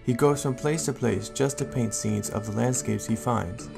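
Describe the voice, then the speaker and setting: A man playing a calm, responsible Raichu guardian in an audio drama, speaking in a measured, explanatory way. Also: medium pitch, gravelly, over music. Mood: earnest, explaining. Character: calm, helpful adult male Raichu guardian, steady and responsible.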